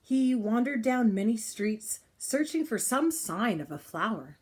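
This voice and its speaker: A woman reading a children's picture book aloud in an expressive style, with deliberate, dramatic phrasing and pauses. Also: on the high side. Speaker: storybook reader.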